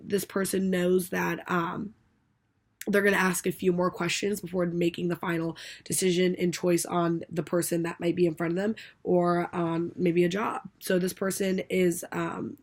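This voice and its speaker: A woman's voice: medium pitch, a little mellow. A young woman with a stuffy nose and a scratchy voice from a cold, summarizing a book chapter for a class in a casual reading style with fillers. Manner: reads a list, then explains casually with fillers and restarts. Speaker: student presenter.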